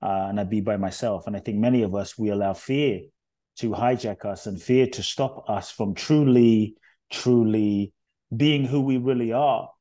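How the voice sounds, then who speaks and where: Earnest low-voiced man telling his story. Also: dark tone. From Ghana, raised in London. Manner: earnest, measured, builds emphasis on key phrases. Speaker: guest, inspirational speaker. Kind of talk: reflective storytelling interview.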